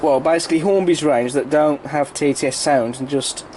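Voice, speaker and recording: A British man with a croaky, congested voice from a cold and sore throat, giving a casual, rambling, explanatory review to camera as a hobby video reviewer. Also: a little high, normal speed, darkish tone, a little hiss or hum. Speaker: model railway video reviewer.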